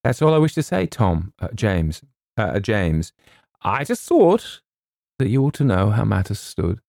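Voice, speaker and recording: A man's voice: on the low side, big pitch swings, a little slow, gravelly, darkish tone, dry recording, clean studio sound, voice pushed up, exaggerated voice. A man voicing a bluff, sentimental old English colonel in an audiobook, hesitant and repeating himself as he nobly nurses a broken heart. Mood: wistful, resigned. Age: older. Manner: hesitant, repeats himself, stumbles over names. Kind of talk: theatrical audiobook character voice.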